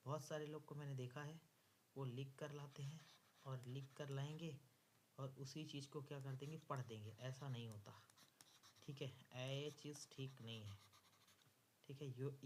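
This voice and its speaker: Male teacher, Indian accent, very unhurried pace, instructive dictation, repeated phrases, noisy recording. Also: medium pitch, steady tone, darkish tone. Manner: instructive, repeats phrases word by word while writing. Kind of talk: dictated step-by-step exam lesson.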